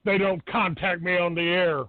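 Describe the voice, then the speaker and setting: High-pitched, blunt man on a phone-like line. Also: steady tone, normal speed, no room sound. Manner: blunt, combative, dismissive, swearing. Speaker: talk show host taking calls. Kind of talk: live internet radio call-in talk. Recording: narrow phone-like low-fidelity internet radio sound.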